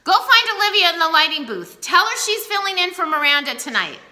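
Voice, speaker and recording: A woman voicing an angry male drama teacher who shouts orders at students. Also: very high-pitched, sing-song, fairly quick, background noise, voice pushed up. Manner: shouting, exasperated.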